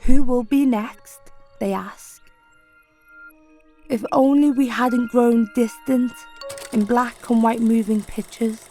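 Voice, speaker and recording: A woman poet giving a slow, measured, solemn spoken-word poetry reading. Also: fairly high pitch, fairly even intonation, warm tone, faint music behind.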